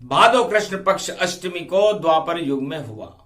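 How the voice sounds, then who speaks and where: A man preaching in a devotional storytelling style, half-singing rhymed verse in drawn-out, declamatory phrases and breaking into quick spoken explanations. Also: a little high, expressive, fairly bright tone.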